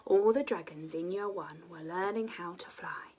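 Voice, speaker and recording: A woman reading a children's story expressively, often dropping to a hushed, breathy delivery. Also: on the low side, moderate pace, heavy background noise.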